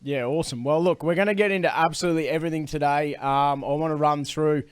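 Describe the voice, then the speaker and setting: A man's voice: fairly high pitch, fairly even intonation, fast talker, smooth, no echo. Australian male podcast host, chatty, teasing, dry close sound. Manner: friendly banter, frequent short agreements. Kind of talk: casual interview.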